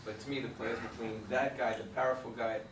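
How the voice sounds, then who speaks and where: The man sounds distant from the mic, his voice ringing in a roomy space. He talks at a middling pitch in a casual, thoughtful way, circling back and restarting his sentences as he gives feedback. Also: level tone, quick pace, background noise. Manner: thoughtful, rambling, many restarts. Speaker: reading group member giving feedback. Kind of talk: casual discussion.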